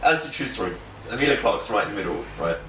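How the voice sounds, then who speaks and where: A man, an actor being interviewed at home, telling stories in a lively way and often asking "right?". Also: lowish voice, quick pace, echoey room, distant mic, slight background noise. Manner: animated storyteller, repeats phrases, says "right?" often. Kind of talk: casual interview chat. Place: his home, indoors.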